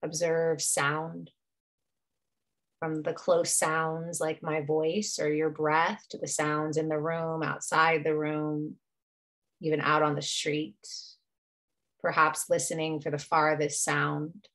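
A woman guiding a meditation as a yoga nidra teacher, soothing and unhurried, leaving long pauses between phrases. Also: low-pitched, monotone, fairly bright tone. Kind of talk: guided meditation.

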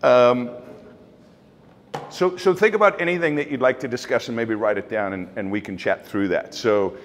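A man's voice: mid-range voice, normal speed, slight background noise. Man, South African accent, middle-aged, certain and blunt, keynote talk, reverberant open room. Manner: certain, blunt, many fillers and restarts. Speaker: keynote speaker. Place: large open office event space.

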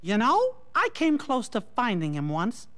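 A man voicing a small cartoon mouse king for a video game, folksy, gentle and earnest in manner. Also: very high-pitched, huge pitch swings, measured pace, exaggerated voice. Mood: earnest, explaining. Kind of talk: video game cutscene acting.

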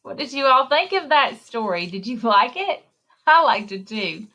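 A woman with a fairly high, slightly husky voice reads a children's picture book aloud. Her delivery is very animated and sing-song, full of exclamations. She talks straight to young listeners like a story time librarian. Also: normal speed, lo-fi sound. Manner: expressive, exclaiming, addressing young listeners directly. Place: indoor room, recorded screen share with webcam.

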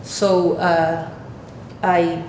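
A woman's voice: flat delivery, drawn-out words, a little mellow. Echoey, hesitant woman with Peruvian Spanish accent. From Peru. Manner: hesitant, searching for words. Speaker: artist. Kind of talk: explaining own artwork to camera. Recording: echoey room, clear rumbling background noise.

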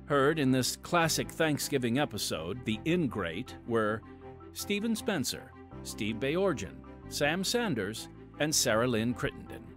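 An American man hosting a devotional podcast in scripted narration, with measured, emphatic, dramatic phrasing. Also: medium pitch, big pitch swings, a bit bright, faint music behind. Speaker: podcast host and preacher.

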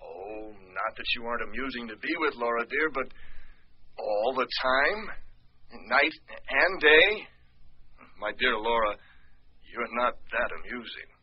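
A husky, dryly tactless bachelor. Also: male voice, mid-range voice, unhurried, long pauses. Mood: blandly tactless. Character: cerebral, blandly tactless bachelor man. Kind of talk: radio drama acting. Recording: dull lo-fi radio drama audio.

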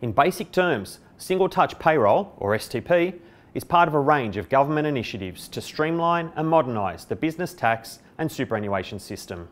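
A man's voice: mid-range voice, lively intonation, moderate pace. A middle-aged man with an Australian accent, delivering a scripted explainer to camera as a company presenter. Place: indoor office by a window. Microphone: lapel mic.

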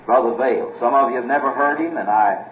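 A man's voice: mid-range voice, fast. A man speaking as a pastor, addressing his church informally in an earnest, wordy, deferential way. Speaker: pastor introducing a guest preacher. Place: church meeting room with congregation. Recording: muffled noisy old tape, echoey hall.

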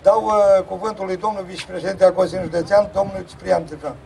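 Male event MC, high creaky voice, informal introductions, distant outdoor pickup. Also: steady tone, over loud music. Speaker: master of ceremonies. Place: open-air fairground stage. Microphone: distant camera, speech over loudspeakers.

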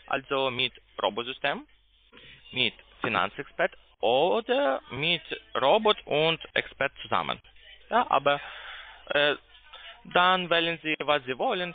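A foreign-accented man talks in halting phrases, giving a call-centre sales pitch. His voice sits at an ordinary male pitch and sounds thin over a phone line. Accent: foreign, non-German. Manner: halting, non-native phrasing. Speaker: scam call-centre agent. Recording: tinny narrow-band phone line.

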